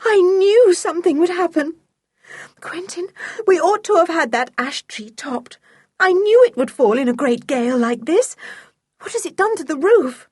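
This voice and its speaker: Woman playing storybook aunt, gentle, anxious, slightly husky, very varied pitch. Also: wildly swooping pitch, measured pace, no room sound, exaggerated voice. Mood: distressed. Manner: tender, fretful. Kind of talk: children's storybook character voice.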